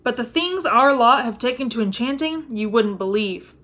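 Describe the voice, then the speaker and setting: A woman's voice: a little high, expressive, normal speed, a bit bright. A woman voicing a mild, good-natured middle-aged wizard father with a hesitant manner in a storybook reading. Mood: weary.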